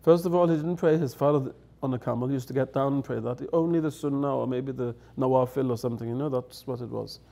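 A man's voice: medium pitch, fairly even intonation, fast, gravelly, few pauses, dark tone. A middle-aged man, a native English speaker, telling anecdotes in a chatty way, laughing and acting out quoted lines, at a table on a studio set. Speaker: guest elder. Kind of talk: storytelling anecdotes. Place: studio set with dark curtains.

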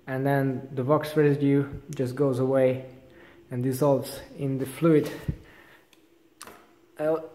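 A young man in a casual vlog, explaining his bike gear in a relaxed, informal way. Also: mid-range voice, in a large hall. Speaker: vlogger. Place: large indoor bike workshop.

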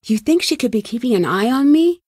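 A woman's voice: on the high side, big pitch swings, brisk, darkish tone, dry recording, clean studio sound. An actress playing an earnest, determined young female amateur detective in conversational video game dialogue. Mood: earnest, pleading. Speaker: lead character in a detective adventure game.

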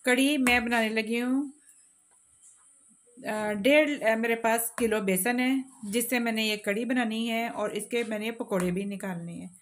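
Calm woman explaining a home recipe. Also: on the high side, a little slow. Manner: calm, explains each step, addresses viewers directly. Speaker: cooking channel host. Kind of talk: step-by-step cooking instructions. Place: home kitchen, off camera over a pot.

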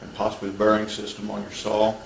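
Gravelly man talking through a woodworking build. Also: fairly low pitch, completely flat delivery, fast talker. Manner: practical, instructive, matter-of-fact. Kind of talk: step-by-step workshop narration. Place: home garage workshop. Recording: roomy workshop, distant mic, tool noise.

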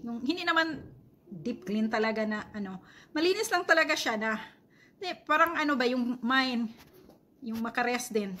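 A woman's voice: fairly high pitch, expressive, moderate pace, sharp tone, slight background noise. A woman in her forties from Bohol in the Philippines, with a Visayan Filipino accent, chatting casually to the camera at home and laughing often. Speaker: vlogger. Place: home living room, indoors. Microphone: camera at arm's length, then on a stand.

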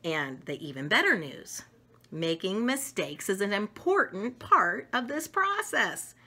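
Middle-aged female storyteller for kids' educational picture book, bright tone, highly varied intonation, emphatic delivery. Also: very animated, a little slow, slightly rough. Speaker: storyteller reading picture books. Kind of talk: read-aloud of a children's educational book. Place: home dining room, indoors.

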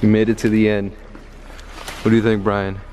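A man's voice: lowish voice, monotone, moderate pace, darkish tone, a little hiss or hum. A young man vlogging with friends on a handheld camera, chatting casually and easily amazed. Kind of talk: casual excited chat while exploring. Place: tunnel and rocky woods outdoors. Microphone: handheld vlog camera. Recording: music mixed over handheld camera audio.

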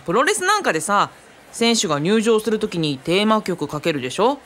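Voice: mid-range voice, very animated, brisk, a bit bright. A performer playing a casual, knowledgeable young companion who explains things kindly in a radio drama. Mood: impressed, explanatory. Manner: easygoing, explanatory.

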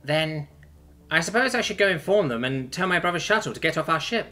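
A man's voice: lively intonation, a little fast, sharp tone, soft background music. A man voicing a small, sweet alien princess, nervous and devoted, with polite, earnest phrasing. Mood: hopeful, polite. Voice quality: high, chirpy. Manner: polite, earnest, formal wording.

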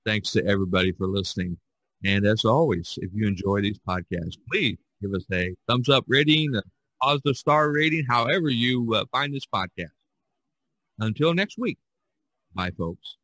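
Low-voiced man hosting a podcast. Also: expressive, sharp tone, no echo, low-quality recording. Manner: halting, rambling, repeats you know. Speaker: podcast host. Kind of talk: casual discussion.

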